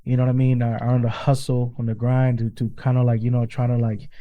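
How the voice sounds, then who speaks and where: A man from Peru talks loosely and earnestly in a casual interview, dropping "bro" every few lines. His voice is mellow and relaxed. It was recorded inside a car. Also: mid-range voice, quick pace, dull audio. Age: adult with children. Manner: loose and earnest, peppered with "bro" and "you know what I mean". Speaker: guest artist.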